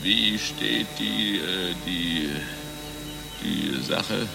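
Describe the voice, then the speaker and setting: A man playing a pompous, fatherly older commander who stammers haltingly, in a satirical radio play. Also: low voice, creaky, music in the background, full character voice. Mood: solemn, halting. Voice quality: stammer, catching on first vowels.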